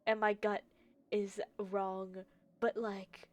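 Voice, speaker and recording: A woman livestreaming a game, reacting casually in an informal, slangy, halting way. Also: medium pitch, level tone. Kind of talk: casual reactions and gaming commentary. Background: faint game and background music.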